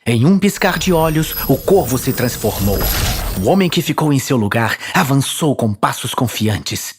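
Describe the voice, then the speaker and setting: A man's voice: mid-range voice, expressive, moderate pace, noisy background. A man with a Brazilian accent reading dramatic scripted fantasy narration as the storyteller.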